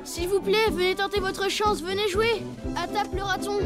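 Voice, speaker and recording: A woman's voice: very high voice, fairly even intonation, moderate pace, loud music behind the voice. A woman voicing an eager, cheerful young boy elephant in a French cartoon dub, with an enthusiastic, chatty manner.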